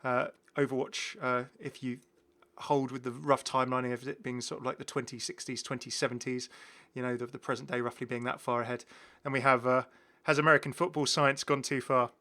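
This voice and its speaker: A man's voice: medium pitch, little pitch movement, a little fast, bright, forceful tone. A British man giving casual, enthusiastic game commentary, rambling and often correcting himself as he goes. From United Kingdom. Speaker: gaming video host.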